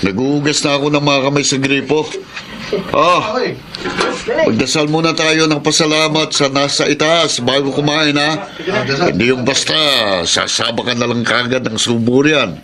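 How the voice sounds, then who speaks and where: A middle-aged Filipino family man played by a male actor in a radio drama. He is a devoted, hardworking labourer with a medium-pitched voice and an earnest, fatherly way of speaking. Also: steady tone, moderate pace, crowd noise, lightly acted. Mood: teasing, fatherly. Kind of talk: Filipino radio drama acting and first-person narration.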